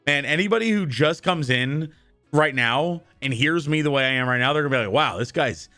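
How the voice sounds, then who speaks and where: A man with a worn-out, husky voice chatting casually with his live-stream viewers and shouting greetings to newcomers. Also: on the high side, fairly quick, faint music behind. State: hoarse, worn-out voice.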